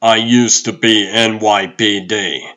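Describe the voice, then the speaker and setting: A man's voice: fairly low pitch, steady tone, slow pace, bright, forceful tone. A man voicing a hot-tempered, exasperated police detective in a comic book dub, indignant and blustering. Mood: skeptical, annoyed.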